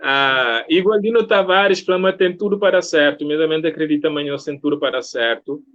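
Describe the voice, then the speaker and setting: A man's voice: on the high side, normal speed, fairly bright tone. A man hosts a casual live interview, reading out viewer comments in an encouraging, appreciative way. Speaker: live-stream interview host. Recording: muffled lo-fi online stream.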